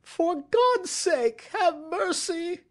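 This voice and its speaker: Male reader as frightened petty thief, high cracking voice, animated swings, cringing, pleading, detective storybook. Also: measured pace, vocal fry, exaggerated voice. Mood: desperate pleading. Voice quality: cracking, shrieking when desperate. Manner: pleading, servile. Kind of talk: classic detective audiobook character acting.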